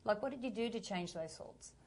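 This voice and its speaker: A woman's voice: mid-range voice, expressive, fairly quick, darkish tone. Encouraging female interview host. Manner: encouraging, frequent affirming interjections. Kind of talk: interview conversation.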